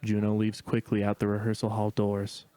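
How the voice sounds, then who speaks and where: A man delivers scripted narration of stage directions. His voice is fairly low and stays very even. He sounds matter-of-fact, in a dry recording with no room sound. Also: normal speed, clear, resonant tone, dry recording.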